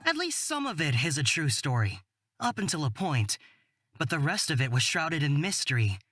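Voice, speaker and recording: Playful, curious young fantasy storyteller. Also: male voice, fairly high pitch, big pitch swings, normal speed, sharp tone, dry recording, studio quality, soft background music, exaggerated voice. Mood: storytelling. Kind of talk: visual novel character acting.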